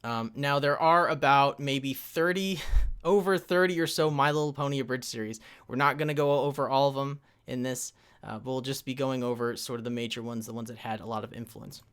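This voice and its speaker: A man's voice: mid-range voice, steady tone, a little fast, fairly bright tone, clean tone. Rambling man hosting a streamed fan panel. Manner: loose and rambling, many fillers and restarts, checks the chat. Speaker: convention panel host. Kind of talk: casual live-streamed panel presentation.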